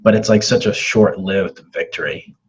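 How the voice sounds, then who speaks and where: A man's voice: lowish voice, normal speed, breathy edge, poor audio. A man in his twenties with an American accent, hosting a casual interview in an easygoing, reflective way. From born in West Africa, raised in the United States. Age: 20s. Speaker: podcast host.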